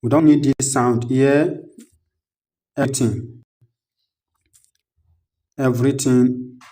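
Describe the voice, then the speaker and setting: A man's voice: mid-range voice, flat delivery, slow pace, warm tone. A man hosting a step-by-step screen tutorial, narrating each click aloud in a repetitive, instructional way. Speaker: tutorial host.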